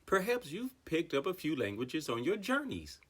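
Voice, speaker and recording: A woman's voice: low-pitched, sing-song, moderate pace, husky, pitched below the performer's own voice, heavily acted. A woman doing a pompous, wordy turkey. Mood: grandly formal. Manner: grand, formal and long-winded. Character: pompous talkative male turkey, wordy and formal. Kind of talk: storybook character voice.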